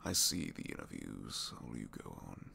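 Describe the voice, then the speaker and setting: A man playing a captured supervillain in an intimate ASMR roleplay, a gentle, bookish dreamer who speaks softly and hesitantly, often sinking toward a whisper. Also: rumbling low, sing-song, moderate pace, gravelly, a little hiss or hum, pitched below the performer's own voice, full character voice. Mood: sincere, fond. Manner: hesitant, soft-spoken, rambling, often dropping to whispers.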